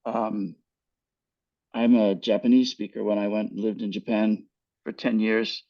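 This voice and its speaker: An older man on a headset video call, answering a webinar question in a thoughtful, measured way with frequent restarts. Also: on the low side, flat delivery, dry recording. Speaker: guest speaker. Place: home room with virtual background. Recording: dull, dry video call audio.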